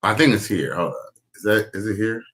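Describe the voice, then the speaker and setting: A man with a low voice and a bright, forceful edge runs a loose commentary. He rambles, swears freely and keeps losing his train of thought mid-sentence. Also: animated, fairly quick. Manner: rambling, profane, searching for words. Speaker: commentary show host. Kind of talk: casual reaction commentary.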